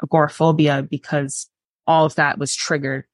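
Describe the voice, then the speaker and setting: Young woman in her twenties, candid and halting. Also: low voice, level tone, dry recording. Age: 20s. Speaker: interview guest, artist. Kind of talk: candid personal storytelling.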